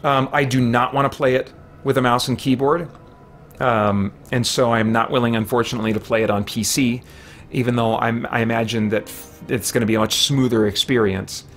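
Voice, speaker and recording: A man's voice: medium pitch, fairly even intonation, fairly quick, fairly bright tone, soft background music. A middle-aged man chatting casually and thinking aloud as he livestreams video games from a small room at home. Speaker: video game streamer. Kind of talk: casual unscripted livestream chat.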